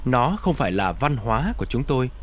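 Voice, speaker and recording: A language app voice reading example sentences and words in a clear, careful, even delivery. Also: mid-range voice, fast talker, slight background noise.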